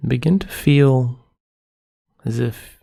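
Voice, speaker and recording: A man leading a guided meditation as a yoga nidra teacher, giving gentle, soothing, unhurried instructions with pauses between phrases. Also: mid-range voice, creaky, slightly rough.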